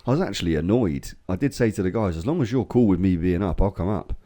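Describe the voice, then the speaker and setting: An English man co-hosting a casual podcast, swearing freely and telling lively stories while getting over a cold. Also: low-pitched, fast. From England. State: recovering from a cold. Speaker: podcast co-host. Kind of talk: casual chat.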